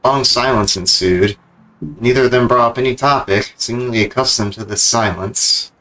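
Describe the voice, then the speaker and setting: A man's voice: mid-range voice, normal speed, pushed and bright, low-quality recording. A man livestreaming a video game, commenting and reading the story text aloud.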